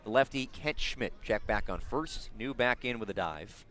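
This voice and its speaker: A man calling live baseball play-by-play for radio, describing the action in detail and building excitement on big plays. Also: mid-range voice, normal speed. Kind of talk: live radio sports commentary.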